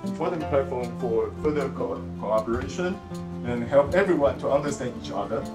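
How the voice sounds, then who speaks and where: A man hosts a symposium opening, delivering formal welcomes and introductions with hesitant fillers. His voice rings in an echoey hall, with loud music playing underneath. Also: medium pitch. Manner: formal but halting, with fillers. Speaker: event host.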